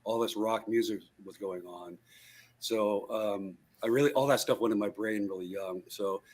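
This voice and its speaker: A man's voice: on the low side, moderate pace, a little mellow, husky. A man in his sixties, a guitarist guest in an interview, telling his story in a rambling way with many fillers and restarts over a video call. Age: 60s.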